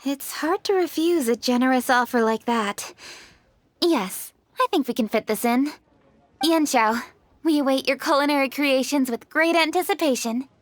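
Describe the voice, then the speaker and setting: A woman's voice: sits high, normal speed, dry recording, natural voice. A woman playing a composed, studious young noblewoman in a video game, speaking formally and articulately. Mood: composed, analytical.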